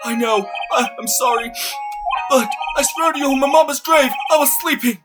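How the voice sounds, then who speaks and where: A man voicing a timid, gentle murder suspect in a game dub, stammering and pleading anxiously. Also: extremely high pitch, normal speed, pitched above the performer's own voice. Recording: loud game music behind.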